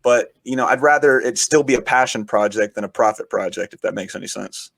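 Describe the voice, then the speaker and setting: A man, a podcast guest who is also a podcast host, talking in rambling, enthusiastic casual chat, his voice raspy from shouting. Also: medium pitch, brisk, no room sound. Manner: rambling, enthusiastic, frequent swearing.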